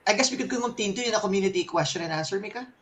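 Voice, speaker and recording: A man's voice: high-pitched, very fast, a bit bright. A man speaking casually and hesitantly as a game developer answering questions in a live session. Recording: faintly noisy livestream audio.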